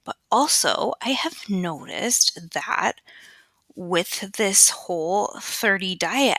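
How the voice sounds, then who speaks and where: A woman's voice: medium pitch, pushed and bright, dry recording. Chatty woman podcaster on a headset mic. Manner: chatty, rambling, filler-heavy. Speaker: podcast host. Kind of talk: casual solo podcast chat. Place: home, at a PC. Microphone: USB computer headset. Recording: dry close headset mic.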